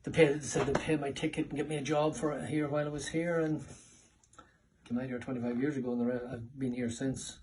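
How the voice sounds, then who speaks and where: A middle-aged man with a Northern Irish accent reminisces casually in a family interview, speaking haltingly and repeating words. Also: medium pitch, fairly even intonation. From Northern Ireland. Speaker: interviewee, father. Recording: distant mic with background room noise.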